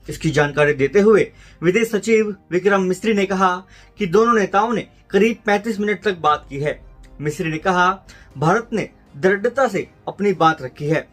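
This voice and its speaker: A man's voice: sits high, normal speed, fairly bright tone. A man with an Indian accent narrating a scripted news report as a voice-over. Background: background music.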